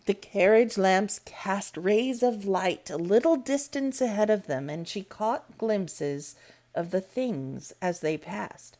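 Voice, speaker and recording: A woman reading a classic novel aloud as storybook narration, in a deliberate, measured manner. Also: medium pitch, darkish tone. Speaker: storybook reader. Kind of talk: read-aloud audiobook narration.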